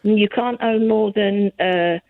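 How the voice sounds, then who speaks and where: Gravelly woman on a phone line. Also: medium pitch, measured pace, dry recording. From England. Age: older. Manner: chatty, rambling, self-mocking. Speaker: caller. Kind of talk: phone-in chat.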